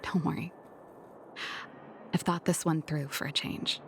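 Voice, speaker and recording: A woman voicing a guarded young woman in a game who admits she runs from her problems, with a low, breathy delivery. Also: steady tone, measured pace, a little hiss or hum. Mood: reflective. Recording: game audio with music behind.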